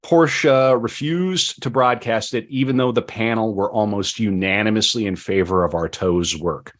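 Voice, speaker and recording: A man hosting a podcast discussion, speaking with deliberate, emphatic phrasing and at times reading from prepared notes. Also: fairly low pitch. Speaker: podcast host.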